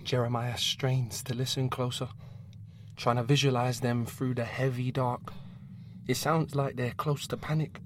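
A man narrating an audio drama intensely, sometimes dropping to a hushed whisper. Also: medium pitch, level tone, unhurried, a little hiss or hum.

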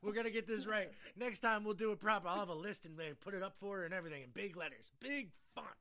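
Bright, high-voiced male podcast host, middle-aged. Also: fast. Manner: rambling, repeats words. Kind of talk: casual podcast chat.

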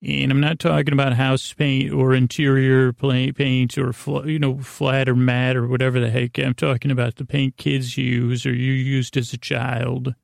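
Guy doing a rambly bedtime podcast, creaky monotone, super dry close sound, keeps trailing off and restarting. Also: medium pitch, no echo. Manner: lulling, meandering, halting with many self-interruptions. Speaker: podcast host. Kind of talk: rambling bedtime monologue to help listeners sleep.

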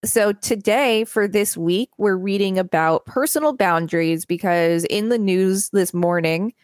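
A woman's voice: lowish voice, no echo, clean studio sound. A woman co-hosting a podcast, reading reference text aloud with casual commentary. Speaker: podcast co-host.

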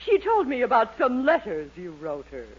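A woman playing an excitable, gullible wife in a 1940s radio situation comedy. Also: fairly high pitch, moderate pace, breathy edge, exaggerated voice. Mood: inquisitive. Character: excitable, gullible wife calling for her husband. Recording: dull lo-fi 1940s radio recording.

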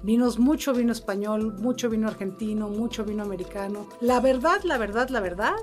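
A woman's voice: on the high side, a little fast, soft background music. A middle-aged woman with a Mexican Spanish accent tells stories casually as an interview guest, in a chatty, humorous, colloquial way. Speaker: interview guest, wine importer and sommelier. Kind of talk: casual conversational storytelling.

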